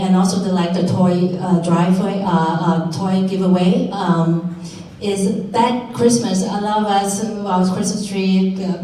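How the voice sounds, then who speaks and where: Mellow woman speaking in very echoey room. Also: on the low side, a little hiss or hum. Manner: earnest, halting, non-native English phrasing. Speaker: community center board member on a panel. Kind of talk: unscripted panel talk. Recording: very echoey room, distant mic.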